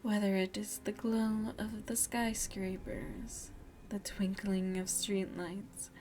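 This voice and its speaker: A woman performs an elegant vampire noblewoman in an ASMR roleplay, motherly and seductive. Her voice is dark and soft, often sinking toward a whisper. She coaxes in slow, genteel phrases, with faint ambient noise behind her. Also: medium pitch, level tone. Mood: soothing, genteel. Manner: slow, genteel, softly half-whispered, coaxing.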